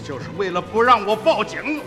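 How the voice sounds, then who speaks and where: An actor playing a middle-aged village uncle in a radio drama, urgent and principled, barking orders and exclaiming. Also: male voice, high-pitched, big pitch swings, brisk, sharp tone, big-room sound, faint music behind, heavily acted.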